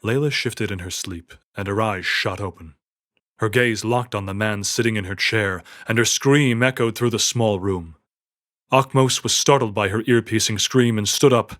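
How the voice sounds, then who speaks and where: Low-voiced man narrating a dramatic audiobook. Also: moderate pace, a bit bright. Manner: dramatic storytelling delivery. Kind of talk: scripted fiction narration. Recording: music under the voice. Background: music.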